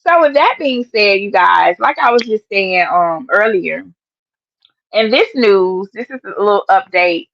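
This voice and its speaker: A slightly hoarse woman hosts a casual news livestream and chats to her viewers. She talks emphatically and often says her points twice. The sound is dull and lo-fi, close and dry. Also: medium pitch, no echo. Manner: chatty, repeats her points, emphatic exclamations. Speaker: host of an online news show.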